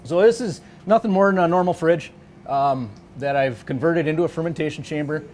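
A man's voice: fairly high pitch, a little fast, darkish tone, a little hiss or hum. A man in his forties, a shop owner, casually and matter-of-factly explaining his products to a visitor. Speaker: grocery store owner and sausage maker. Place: small grocery store and its back room.

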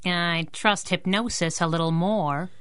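An actress playing a tough, sassy, wisecracking masked heroine in a 1930s radio drama. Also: female voice, lowish voice, a little slow, a bit bright, clear, resonant tone, dry recording, studio quality. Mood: tough, dry. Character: feisty wisecracking female masked sidekick.

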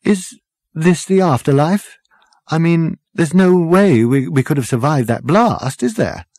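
A man's voice: fairly low pitch, measured pace, a little mellow, husky, no echo, clean studio sound. A man voicing a bewildered, anxious ordinary fellow with a hesitant, querulous manner in an audiobook.

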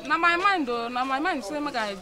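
A young woman with a Nigerian accent answering casually in Pidgin, in short, halting, repeated phrases. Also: high-pitched, lively intonation, a crowd in the background. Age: young adult. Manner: halting, repeats herself, brief plain answers. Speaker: apprentice motorcycle mechanic, interviewee. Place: outdoor motorcycle repair yard.

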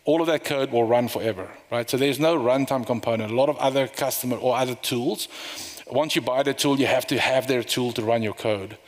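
A middle-aged man giving a conference talk, walking through a live software demo in a loose, rambling way with fillers and restarts. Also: mid-range voice, brisk. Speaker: conference speaker. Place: conference room. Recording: long reverb of a hall.

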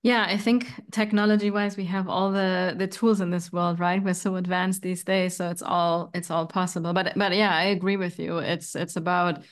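A woman's voice: lowish voice, little pitch movement, normal speed, few pauses. German-born woman hosting a video call. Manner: friendly, agreeing, many fillers. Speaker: podcast host. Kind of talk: casual video call interview. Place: home room.

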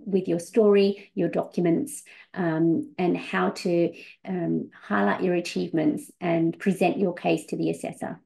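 Woman, forties, webinar host, gravelly edge, lots of ums, video call. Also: mid-range voice, dark tone. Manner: many um fillers, earnest. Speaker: visa coach and webinar host. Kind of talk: webinar presentation.

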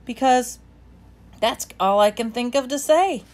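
A woman voicing a gentle, weary gorilla. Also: on the high side, measured pace, voice pushed up, lightly acted.

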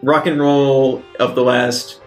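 A man with a medium-pitched voice chats playfully as a player in a tabletop game. The room is echoey and faint guitar music runs behind him. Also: flat delivery, takes it slowly. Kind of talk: casual in-character game chat. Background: soft guitar music.

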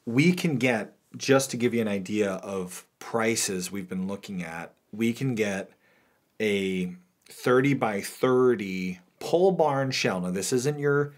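Brief: man, 30s, podcast co-host, animated and joking, small studio room. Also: on the low side, slow. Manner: animated, joking, gestures a lot. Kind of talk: casual chat. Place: small studio room with wood-panel wall.

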